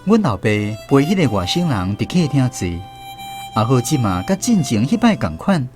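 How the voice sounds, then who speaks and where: A man's voice: medium pitch, sing-song, normal speed, over music. A man with a Taiwanese accent narrating a first-person story expressively for radio drama.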